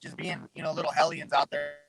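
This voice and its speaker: A man from Southern Arizona talks in a loose, rambling way, scattering fillers as he goes. His voice sits a bit high for a man. He comes through a remote call mic that pops now and then. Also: little pitch movement, quick pace, gravelly, fairly bright tone, no echo, faint music behind. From Southern Arizona, USA. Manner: laid-back, rambling, full of fillers. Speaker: guest, MMA fighter. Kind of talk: casual interview chat. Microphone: remote call mic with popping.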